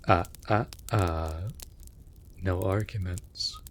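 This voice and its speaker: A man's voice: sits low, slow pace, slight background noise. A man voicing a male raven familiar in a fantasy comfort audio drama, affectionate and cheeky, with mock-polite, playful banter. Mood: gently insistent. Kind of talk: scripted comfort audio roleplay.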